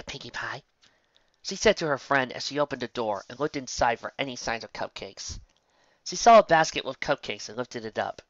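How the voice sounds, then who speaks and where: A man's voice: mid-range voice, a bit bright, no room sound. A man narrating a fan fiction story expressively, stumbling over words now and then, on a dull lo-fi recording.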